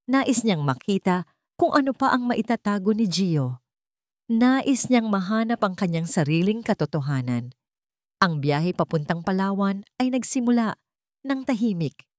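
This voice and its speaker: A woman storyteller narrates a romance drama in a soft, mellow tone. Her voice sits on the lower side, and the recording is close and dry. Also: no room sound.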